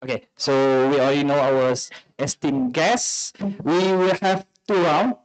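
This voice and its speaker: Higher-voiced man moderating, halting English. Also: no echo, low-quality recording. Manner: halting, non-native English phrasing. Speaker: panel moderator. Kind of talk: introducing a youth forum panel.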